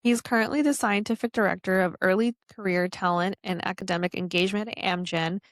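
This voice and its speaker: A woman co-hosting a podcast, giving a polished, clear scripted introduction and then asking interview questions. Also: lowish voice, no room sound. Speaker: podcast co-host.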